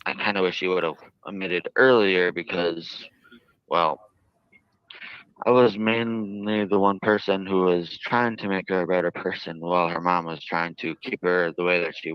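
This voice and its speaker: Very deep, smooth, halting man, muffled audio. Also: no echo. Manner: halting, earnest, wordy, repeating himself. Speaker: jailed interviewee. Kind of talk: unscripted interview answers. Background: played within a live stream.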